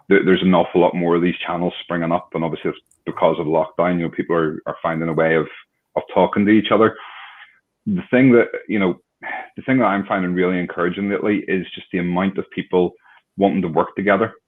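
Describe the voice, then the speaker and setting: A deep-voiced man hosts an online fan chat, friendly and a bit rambling. His voice comes through a thin, phone-like line. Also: steady tone, fairly quick. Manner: friendly, rambling, restarts often. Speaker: host. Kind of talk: casual chat.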